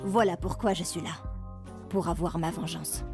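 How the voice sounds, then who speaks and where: A woman's voice: lowish voice, fairly even intonation, normal speed, dark tone, faint music behind, close to an everyday voice. A woman voicing a bitter, vengeful schoolteacher in an amateur cartoon fan dub, narrating with sarcasm. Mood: resentful.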